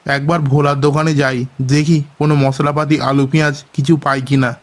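A man voicing a gentle, worried poor village father in a cartoon. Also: a little high, little pitch movement, a little fast, close to an everyday voice. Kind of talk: cartoon voice acting.

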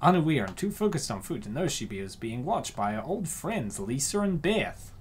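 A man's voice: mid-range voice, big pitch swings, normal speed, slight background noise. A man narrating a comic as commentator, theatrical and slangy, riffing casually on it as he goes.

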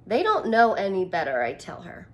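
A woman in her thirties reads a picture book aloud to young children and comments on it in simple, expressive, wonder-filled phrases. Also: mid-range voice, normal speed. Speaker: teacher reading aloud to children. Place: small indoor room, curtained corner.

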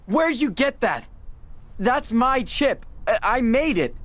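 Brainy earnest teen boy in a video game, animated pitch, unhurried. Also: no echo, exaggerated voice. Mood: alarmed. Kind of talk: dramatic video game cutscene acting.

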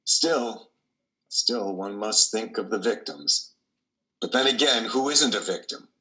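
An older man, in his sixties or beyond, playing a troubled, compassionate priest in a deliberate, theatrical, musing monologue. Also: fairly low pitch, pushed and bright, heavily acted, low-quality recording. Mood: philosophical, troubled. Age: 60s or older. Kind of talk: dramatic monologue in play reading. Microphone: video call, close to camera.

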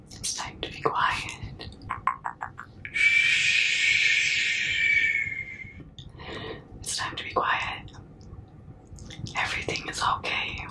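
Young woman doing asmr, breathy whisper right up close, super slow n soothing, shushing. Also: poor audio. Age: young adult. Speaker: ASMR performer. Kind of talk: whispered ASMR comforting roleplay. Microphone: very close to the mouth.

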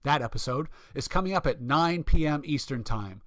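A man's voice: a little high, lively intonation, moderate pace, fairly bright tone, no echo, clean studio sound. A man announcing a radio show in scripted narration.

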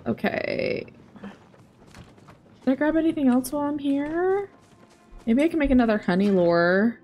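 A young woman in her twenties chatting casually while she plays a game on stream, thinking aloud through a headset mic, her voice a bit raspy today. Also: sits high, animated, measured pace, faint music behind. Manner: chatty, thinking aloud, soft asides to chat. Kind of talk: casual gameplay commentary.

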